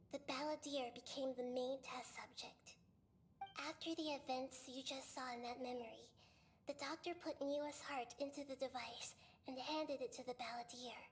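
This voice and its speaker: A woman voicing a gentle, wise female goddess in a video game, telling the story in a calm, measured way. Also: sits high, natural voice. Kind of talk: video game voice acting, narration.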